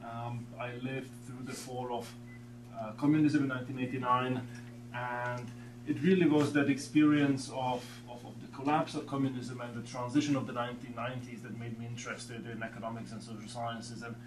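A man's voice: medium pitch, little pitch movement, brisk. A middle-aged man from Slovakia with a Slovak accent, giving an academic lecture in a seminar room and often repeating words as he searches for the next phrase. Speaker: guest lecturer. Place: seminar room with a long table. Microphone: far room camera. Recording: echoey room from far off, background hum.

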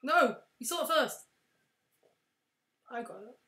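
Excitable young woman gaming. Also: high-pitched, slow. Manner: excitable, shouts and squeals at wins and losses. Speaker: let's play host. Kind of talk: casual gameplay commentary. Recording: roomy echo.

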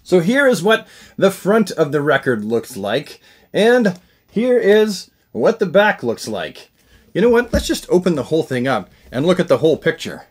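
A middle-aged man hosting a casual, enthusiastic unboxing vlog, talking in an animated, exclaiming way. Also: fairly high pitch, breathy edge. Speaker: unboxing review host. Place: small indoor room lined with shelves of collectibles.

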